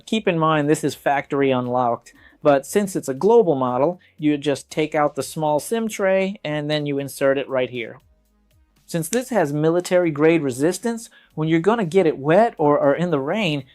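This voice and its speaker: A man's voice: a little high, animated, moderate pace, darkish tone, soft background music. A man presenting a casual hands-on product review, talking conversationally and directly to viewers.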